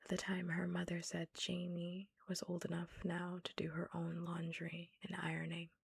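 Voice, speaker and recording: A woman's voice: lowish voice, monotone, moderate pace, creaky, a little hiss or hum. A young woman whispering through an ASMR-style book reading, chatting casually about the story with frequent "like" in between. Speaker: book reader.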